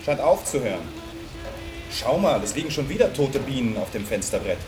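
Guy playing calm evasive husband, dry small talk, radio play, music underneath. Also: medium pitch, normal speed, close to an everyday voice. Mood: placid, dry. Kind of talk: German radio play acting.

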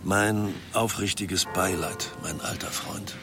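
An actor playing a somber old friend offering condolences in a radio play, gravelly, hushed and slow. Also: male voice, deep voice, fairly even intonation, music in the background. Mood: solemn. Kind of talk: naturalistic radio play acting.

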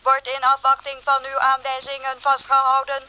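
An actress playing an officious police dispatcher in a radio drama, reading formal reports precisely and impersonally. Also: female voice, sits high, completely flat delivery, normal speed, pushed and bright, a little hiss or hum. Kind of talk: radio drama, flat bureaucratic read-out.

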